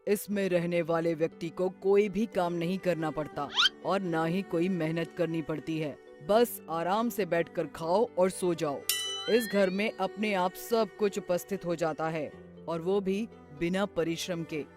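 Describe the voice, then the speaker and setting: A woman voicing a calm male sage in a children's story, measured and instructive. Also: fairly low pitch, over music, voice pushed down, natural voice. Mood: calm, cautioning.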